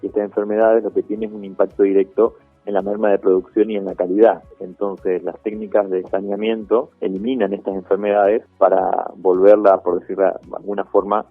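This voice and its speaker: A man's voice: on the low side, little pitch movement, a little fast, husky, no echo. An Argentine man, a researcher being interviewed, giving a technical explanation. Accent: Argentine Spanish. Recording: tinny dry phone-like line, faint music.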